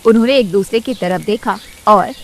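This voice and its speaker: A woman narrating a storybook cartoon in an even, storytelling manner. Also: medium pitch, normal speed, background noise.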